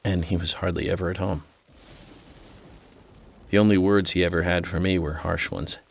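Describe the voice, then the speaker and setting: An American man playing an eccentric, introspective federal agent in a video game, with a measured, earnest, confiding manner. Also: sits low, steady tone, creaky. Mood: reflective. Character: eccentric, introspective male FBI agent with an imaginary friend. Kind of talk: video game cutscene dialogue.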